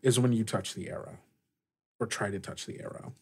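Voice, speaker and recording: A man narrating an improvised tabletop game as game master, halting and searching for words as he goes. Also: lowish voice, fairly even intonation, husky.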